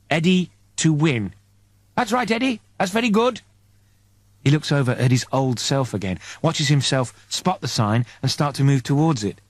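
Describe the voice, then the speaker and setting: Guy narrating an audiobook, dramatic, slightly high voice, really varied pitch. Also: no echo. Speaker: audiobook reader. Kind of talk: dramatic third-person story narration in present tense.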